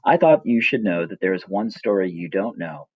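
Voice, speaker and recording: Low-voiced man reading, muffled recording. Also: animated, moderate pace, husky, no echo. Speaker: writer reading own story. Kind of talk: scripted personal narration, storytelling.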